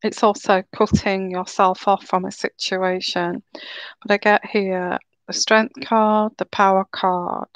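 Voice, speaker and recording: A woman giving a live tarot reading in a slow, soothing, reassuring way, her throat a little sore and croaky. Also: medium pitch, flat delivery, no echo, low-quality recording. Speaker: tarot reader.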